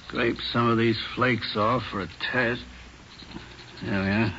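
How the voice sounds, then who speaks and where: A man's voice: mid-range voice, steady tone, a little slow, pushed and bright. A male actor playing a methodical, matter-of-fact American police crime lab lieutenant in a 1940s radio drama. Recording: dull old radio recording, faint noise.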